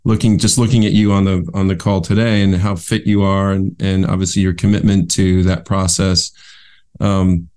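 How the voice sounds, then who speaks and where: A man from West Michigan hosts a podcast in a low-pitched voice. He asks questions casually and conversationally, affirming what he hears as he goes. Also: little pitch movement, normal speed, darkish tone, clean tone. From West Michigan, USA. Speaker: podcast host. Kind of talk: casual interview.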